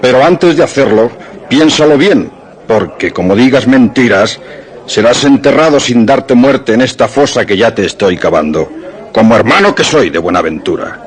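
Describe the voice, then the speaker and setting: An actor playing a menacing, protective villager in radio drama, declaiming threats. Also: male voice, medium pitch, animated, moderate pace, lightly acted. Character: menacing, protective brother digging a grave. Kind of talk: Spanish radio drama acting.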